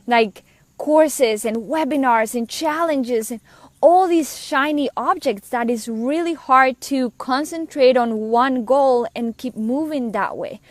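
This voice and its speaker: Colombian woman with a bright, higher voice. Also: no room sound. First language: Spanish. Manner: earnest, conversational, quick asides. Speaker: business coach. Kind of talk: personal story monologue.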